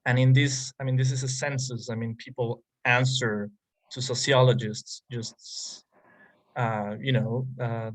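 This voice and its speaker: A man's voice: medium pitch, rough recording. A male guest lecturer talks his way through an academic point on a video call. He stops and restarts often and leans on fillers as he searches for words. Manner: hesitant, many restarts and fillers. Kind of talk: academic talk and Q&A. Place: online conference call.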